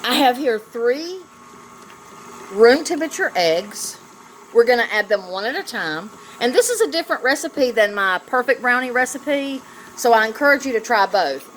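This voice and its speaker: A woman's voice: fairly high pitch, expressive, moderate pace, fairly bright tone. A woman giving a casual cooking demonstration, chatty and affectionate as she talks directly to viewers. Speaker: home cooking vlog host. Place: home kitchen, indoors. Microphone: phone camera on the counter. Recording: noisy home kitchen with clattering dishes.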